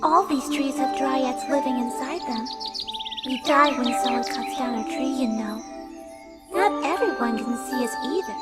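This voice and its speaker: Woman playing a high, playful tree spirit. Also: moderate pace, close to an everyday voice. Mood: secretive, inviting. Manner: giggly, teasing. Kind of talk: audio comic story acting. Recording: loud music under the voice.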